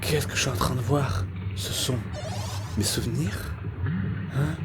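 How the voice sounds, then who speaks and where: A man playing a frightened, bitter, proud young male creature in a fan comic dub, speaking in a dramatic, halting, stammering way. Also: medium pitch, creaky, over loud music. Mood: dazed, afraid.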